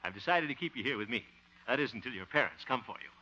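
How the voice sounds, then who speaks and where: An actor playing the fussy, easily exasperated lead of a 1950s radio sitcom, who chuckles often. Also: male voice, medium pitch, expressive, fast, sharp tone. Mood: earnest. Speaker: lead of the show, radio host character. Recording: muffled hissy 1950 radio transcription.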